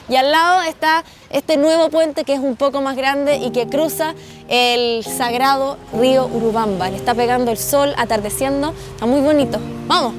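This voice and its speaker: A woman with a Chilean accent hosting a travel vlog outdoors in casual, chatty, exclamatory commentary. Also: high voice. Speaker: travel show host. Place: outdoors in a town and valley. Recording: music laid over the voice.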